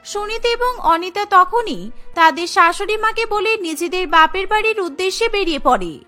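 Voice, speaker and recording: Very high, bright woman storyteller. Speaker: narrator. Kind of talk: scripted cartoon story narration.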